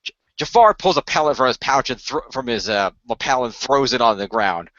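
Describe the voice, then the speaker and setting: A man narrates improvised adventure action haltingly, repeating phrases as he goes. His voice is bright and animated. The sound is dry and slightly dull. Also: mid-range voice, dry recording. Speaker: narrator and player in amateur radio play. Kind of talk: improvised present-tense story narration.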